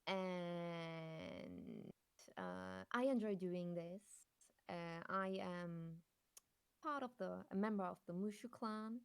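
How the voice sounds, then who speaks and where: A woman from Germany, a streamer guesting on a podcast, chatting in friendly, slightly halting English. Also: lowish voice, animated, creaky, smooth. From born in Poland, grew up in Germany. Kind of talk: casual interview chat.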